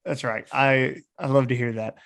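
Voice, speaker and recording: A man's voice: medium pitch, fairly even intonation, moderate pace, creaky, fairly bright tone. A young man from the United States hosting a podcast in casual sports chat. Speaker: podcast host.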